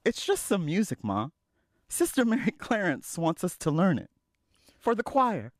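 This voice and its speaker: Teenage girl played by a man, earnest and whiny, pitched high, pleading in a film drama read-through. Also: wildly swooping pitch, unhurried, dry recording, studio quality, exaggerated voice. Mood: pleading, hopeful. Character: pleading teenage daughter who wants to sing.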